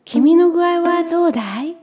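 A woman's voice: very high-pitched, moderate pace, dark tone, faint music behind, pitched above the performer's own voice. A woman voicing a polite, eager young boy adventurer in a children's storybook. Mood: cheerful, eager. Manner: boyish, courteous, earnest.

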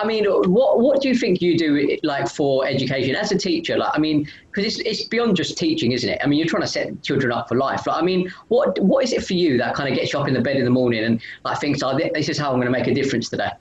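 A man's voice: medium pitch, slight background noise. A man hosting a podcast, chatty and filler-heavy, full of "like" and "I mean", speaking over a video call. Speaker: podcast host. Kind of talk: casual interview chat. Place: indoors, room with brick wall, video call. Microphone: video call webcam. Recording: roomy, dull webcam audio.

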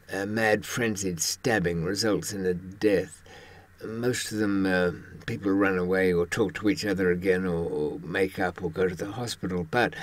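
A man in his seventies giving a rambling monologue to camera with wry, dark humour, often hesitating as he searches for words, in a husky voice. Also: low voice. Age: 70s. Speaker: host recounting experiences from a criminal past.

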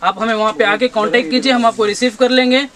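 A young man, a shop owner giving a brisk sales pitch for his goods and addressing viewers as friends again and again. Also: steady tone, crowd noise. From India. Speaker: shop owner showing products. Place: textile shop, indoors.

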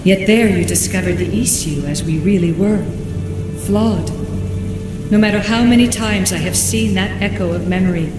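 An actress playing a solemn, ancient godlike guardian spirit in a video game, speaking in a measured, formal, oracular way. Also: female voice, mid-range voice, close to an everyday voice. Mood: solemn instruction. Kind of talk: scripted video game cutscene acting. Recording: echoey hall reverb, music behind.

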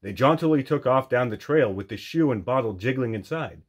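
Animated, husky male storytime reader. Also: medium pitch, brisk, lo-fi sound. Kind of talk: animated children's storybook narration.

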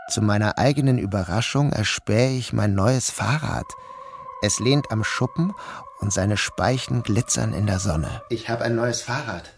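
An actor playing a troubled, dazed man who narrates his own day in a measured, literary radio drama style. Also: mid-range voice, slight background noise, close to an everyday voice. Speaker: first-person narrator.

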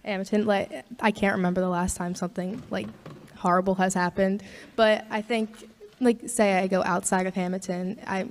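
A woman's voice: medium pitch, steady tone, normal speed, slight background noise. A young woman from New Jersey, a student, chatting with lots of "like" into a handheld mic in a lecture hall. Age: young adult. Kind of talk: casual class discussion. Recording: long hall reverb.

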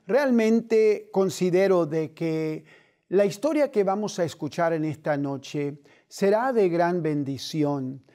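Middle-aged male TV host, slow and earnest, mellow voice, studio setting. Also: high voice. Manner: earnest, measured, with gentle hand gestures.

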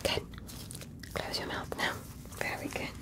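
A woman voicing a gentle alien medical examiner in an ASMR roleplay, soothing, reassuring and politely clinical. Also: unhurried, natural voice. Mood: soothing, calm.

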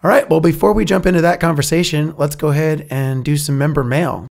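A young man with a froggy, hoarse voice, hosting a casual podcast chat and laughing often between filler words. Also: on the high side, steady tone, brisk, darkish tone. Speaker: podcast host. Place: recording studio.

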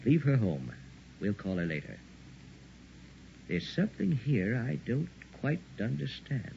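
A man's voice: medium pitch, sing-song, a little slow, creaky. A man playing a concerned, cautious but firm doctor in an early 1960s radio drama. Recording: muffled old radio recording with hiss.

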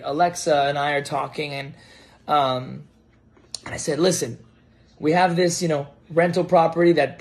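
An American man in his thirties telling a story casually to camera, animated and halting as he repeats words. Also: a little high. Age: 30s. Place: home kitchen, indoors. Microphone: phone propped on table at arm's length.

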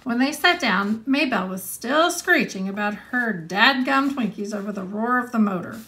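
A woman's voice: fairly high pitch, animated, normal speed, pushed and bright, low-quality recording. A woman in her thirties, an elementary school teacher, reading aloud and explaining with questions to students, stressing key words. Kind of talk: read-along lesson with questions to students.

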